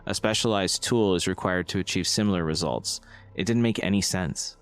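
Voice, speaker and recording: A man's voice: sits low, normal speed. A man reading a true-story account as scripted narration. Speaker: story reader.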